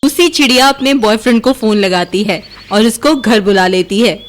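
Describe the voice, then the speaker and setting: A woman's voice: medium pitch, normal speed. A woman narrator reading a scripted children's moral story.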